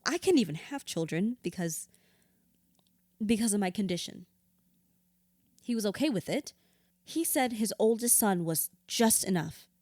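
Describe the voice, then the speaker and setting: A woman's voice: mid-range voice, animated, measured pace, pauses often, darkish tone, no echo, clean studio sound. An actress playing a hurt, bitter widow confiding in her therapist in an audio drama, raw, blunt and sweary. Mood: hurt, indignant. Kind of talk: audio drama acting, confessional dialogue.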